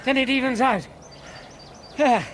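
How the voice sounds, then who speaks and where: An actor playing a loyal Victorian doctor and companion in a radio drama, with an earnest, reassuring manner and a husky voice. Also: male voice, extremely high pitch, a little slow, a little hiss or hum. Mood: conversational.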